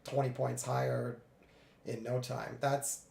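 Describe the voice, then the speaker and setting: A man getting over a cold, a little congested, narrating his live trades in a relaxed way with many fillers. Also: medium pitch, takes it slowly, vocal fry, echoey room. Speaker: futures day trader streaming live. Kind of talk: live trading commentary.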